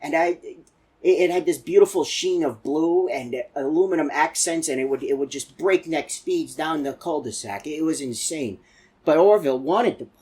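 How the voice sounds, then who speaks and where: A man voicing a chatty, excitable elderly animatronic in a video game, telling folksy, rambling stories in a husky old voice. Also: fairly high pitch, moderate pace. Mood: rambling reminiscing. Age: older. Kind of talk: rambling game character monologue.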